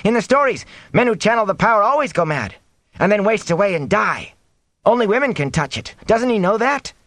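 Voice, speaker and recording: Man playing an excitable, high-voiced village boy. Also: brisk, no echo, studio quality. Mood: agitated. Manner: blurting, agitated.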